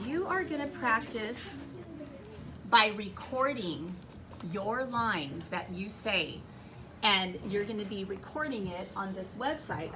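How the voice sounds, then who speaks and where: A woman teacher instructing young children in a classroom, giving directions, repeating them and asking lots of questions. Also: medium pitch. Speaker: primary school teacher. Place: school classroom. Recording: noisy classroom recording. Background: classroom noise.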